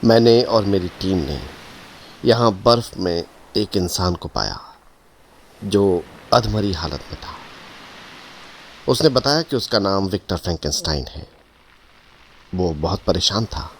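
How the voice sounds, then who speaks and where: Deep, sober Arctic ship captain. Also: male voice, very animated, unhurried, long pauses, a little hiss or hum, exaggerated voice. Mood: grave, puzzled. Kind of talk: audio drama narration addressed to listener.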